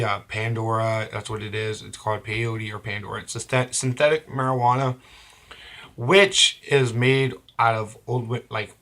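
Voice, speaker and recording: A young man with a hoarse, cold-roughened voice, telling a confessional story in a halting, rambling way full of restarts. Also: medium pitch, a bit bright. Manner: rambling, halting, frequent restarts and self-corrections. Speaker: vlogger. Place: at home.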